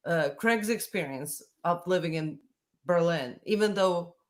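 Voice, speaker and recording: A woman's voice: fairly low pitch, unhurried. A young woman from Latvia whose first language is Russian, a musician guest on a video call. She talks thoughtfully and often searches for her words. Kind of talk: reflective conversation. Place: home room indoors.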